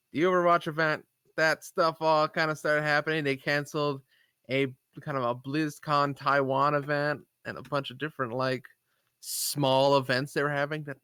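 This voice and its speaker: A man's voice: fairly high pitch, bright, forceful tone, smooth, no room sound. A man co-hosting a live stream, chatting casually about gaming news in a rambling way. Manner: rambling, lots of fillers and agreement. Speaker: podcast co-host. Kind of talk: casual chat.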